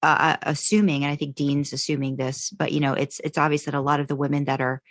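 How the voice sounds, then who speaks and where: A woman's voice: low voice, flat delivery, fast talker, no echo. A woman co-hosting a podcast, talking casually and feeling her way through her thoughts as she goes. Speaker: podcast co-host. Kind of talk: casual fan discussion chat.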